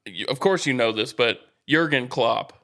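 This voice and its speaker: A man's voice: mid-range voice, lively intonation, moderate pace, a bit bright. A man hosting a sports podcast in casual banter, explaining things knowledgeably and teasing his co-host.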